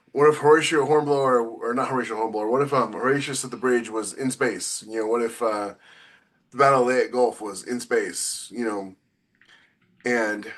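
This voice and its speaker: A man chatting casually as a podcast co-host and author about his own work, haltingly thinking aloud and repeating phrases as he goes. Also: mid-range voice, a bit bright.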